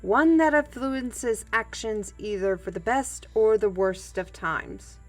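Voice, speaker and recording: An unhurried, folksy trail guide played by a woman from a fantasy audio drama, humble and plain-spoken. The phrasing is old-fashioned and a little hesitant, like a storyteller on the road, with music playing underneath. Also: medium pitch. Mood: flustered, reluctant. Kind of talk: audio roleplay acting. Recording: music bed behind the voice.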